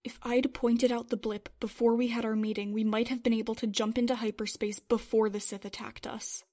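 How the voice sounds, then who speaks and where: A woman's voice: fairly high pitch, flat delivery, a little fast, warm tone, close to an everyday voice. A woman playing a worried, self-doubting starship pilot in a roleplay series, earnest and hesitant. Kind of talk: Minecraft roleplay web series voice acting.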